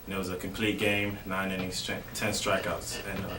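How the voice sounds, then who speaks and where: A young man from Miami, a college baseball player, answering interview questions in a relaxed way with lots of "you know". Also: deep voice, a little fast. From Miami, Florida. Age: young adult. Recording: roomy, distant mic with faint noise.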